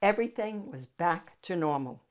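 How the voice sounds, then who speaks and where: A woman's voice: on the low side, lively intonation, normal speed. A woman narrating a children's picture book expressively as its reader and host.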